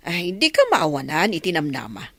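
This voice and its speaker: An actress with a Filipino accent playing a helpful, hopeful village companion in a radio comedy drama. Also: female voice, low-pitched, very animated, brisk, fairly bright tone, no room sound, exaggerated voice. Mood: reassuring.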